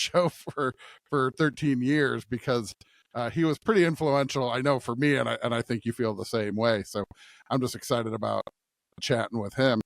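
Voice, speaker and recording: A man's voice: medium pitch, dry recording. A middle-aged American man co-hosting a podcast, chatting casually in a rambling, self-deprecating way. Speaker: pastor and podcast co-host. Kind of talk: casual unscripted chat. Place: studio at a church.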